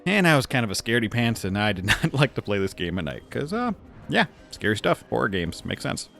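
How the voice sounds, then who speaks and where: A man's voice: mid-range voice, big pitch swings, fairly quick, gravelly, fairly bright tone. A man hosting a game review video in an informal, chatty, lightly joking way. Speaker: video host reviewing games. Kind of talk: casual scripted video commentary. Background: background music.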